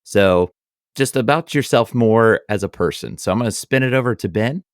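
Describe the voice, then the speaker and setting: A man's voice: medium pitch, normal speed, no room sound, studio quality. A man co-hosting a podcast, asking questions in a casual, friendly way with short agreeing murmurs. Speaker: podcast co-host.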